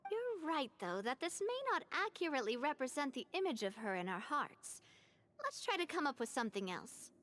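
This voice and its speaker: A woman's voice: high-pitched, big pitch swings, moderate pace, a bit bright. A young woman voicing a friendly, assured gang leader in a video game, speaking earnestly.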